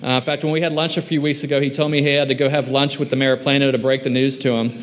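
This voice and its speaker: A man's voice: medium pitch, flat delivery, very fast. A man hosting a lunch round table as mayor, introducing a guest in a friendly, upbeat way with many fillers. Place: large hall at a lunch event. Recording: echoey hall with faint noise.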